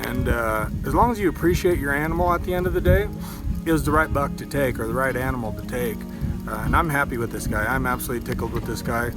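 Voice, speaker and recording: Man chatting casually over loud music. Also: medium pitch, quick pace. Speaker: hunter presenting a hunting video. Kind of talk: casual chat to camera. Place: outdoors on a snowy mountain.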